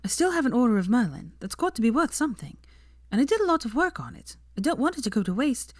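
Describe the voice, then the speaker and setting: Woman voicing an earnest, assertive young witch, high-pitched. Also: very animated, fast talker, no echo, studio quality. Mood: eager, apprehensive.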